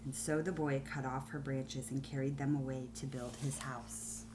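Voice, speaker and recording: A woman teacher reading a children's story aloud, deliberate and expressive, with pauses between phrases. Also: very deep voice, noisy background.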